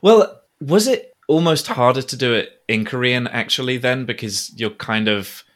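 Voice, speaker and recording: A man's voice: mid-range voice, animated, moderate pace, a bit bright. Supportive male podcast host. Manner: supportive, encouraging, frequent backchannels. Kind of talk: casual interview chat.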